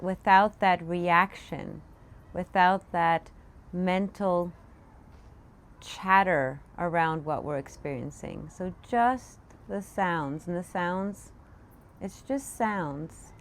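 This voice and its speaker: A woman meditation teacher leading a guided meditation, giving gentle, unscripted instructions with pauses. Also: medium pitch. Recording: clear background noise, room ambience. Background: room ambience, children in the background.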